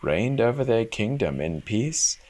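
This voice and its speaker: A man's voice: on the low side, very animated, measured pace, a little mellow. A man giving a dramatic reading of a fairy tale as a storyteller.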